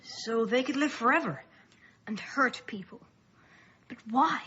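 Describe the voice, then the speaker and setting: A woman playing an earnest, anxious, curious young boy in a radio play, husky, with urgent questioning. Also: a little high, expressive, unhurried, pushed and bright, slight background noise. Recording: dull, muffled lo-fi radio play.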